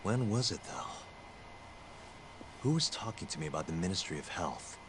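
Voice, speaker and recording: A cool-headed detective in a video game. His voice sits low and his manner is blunt and questioning. There is clear noise behind him. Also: big pitch swings, normal speed. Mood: pondering. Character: probing, cool-headed adult male detective.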